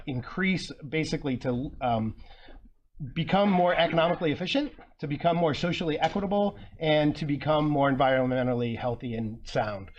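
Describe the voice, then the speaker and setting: A man's voice: fairly high pitch, slight background noise. Earnest man presenting at a conference. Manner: earnest, restarts phrases, fillers between points. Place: conference room.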